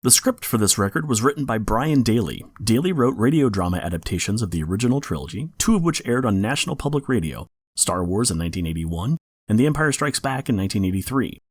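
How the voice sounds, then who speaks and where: Low-voiced man hosting a podcast, lively intonation. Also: fast talker, no echo, studio quality. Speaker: podcast host. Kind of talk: scripted informative narration.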